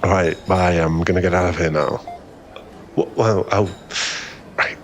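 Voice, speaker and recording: A man playing a flustered, smitten young man in an ASMR boyfriend roleplay, halting and stammering as he rambles nervously to a crush. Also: low voice, fairly even intonation, a little hiss or hum. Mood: embarrassed, grateful.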